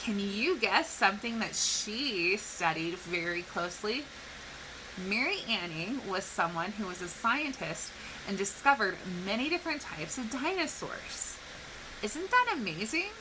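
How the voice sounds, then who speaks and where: A woman speaking like a teacher to young children, explaining things clearly and posing questions in an educational read-aloud. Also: medium pitch, big pitch swings, unhurried, noisy background. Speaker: teacher reading a picture book to children.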